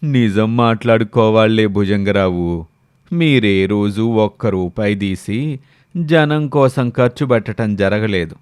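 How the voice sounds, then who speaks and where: A man's voice: fairly low pitch, a little slow, a little mellow, clear, resonant tone, dry recording, clean studio sound, close to an everyday voice. A man playing a frank, cynical village man needling his companion in a moral story. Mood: blunt, resigned.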